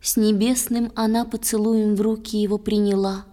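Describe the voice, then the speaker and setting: A woman narrating an audio play in verse, slow, solemn and expressive. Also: medium pitch. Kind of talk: scripted dramatic verse narration.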